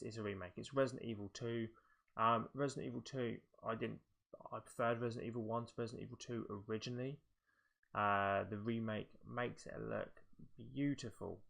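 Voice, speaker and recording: Low-voiced man with a creaky edge. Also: fairly bright tone. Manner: hesitant, rambling, thinking aloud. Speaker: video game show presenter. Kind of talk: casual games commentary.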